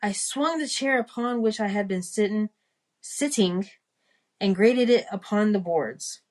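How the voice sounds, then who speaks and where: A woman reading a classic short story aloud, haltingly and carefully, sounding out the hard words as she goes. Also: mid-range voice. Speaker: reader of a storytime podcast.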